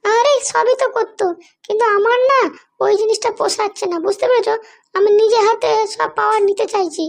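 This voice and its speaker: Squeaky super high cartoon madam someone acting, power hungry schemer, bossy n threatening, talks fast. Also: fairly even intonation, creaky. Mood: self-justifying, ambitious. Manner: bossy, threatening, self-justifying. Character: scheming, power-hungry madam, ruthless mother seeking political power. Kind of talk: comedy cartoon dialogue.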